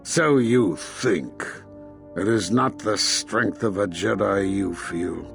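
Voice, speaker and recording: An actor playing a stern, condemning older Jedi master in a video game, speaking gravely and deliberately with a husky voice. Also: male voice, fairly low pitch, a bit bright, soft background music, full character voice. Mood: stern, accusing. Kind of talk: dramatic video game dialogue.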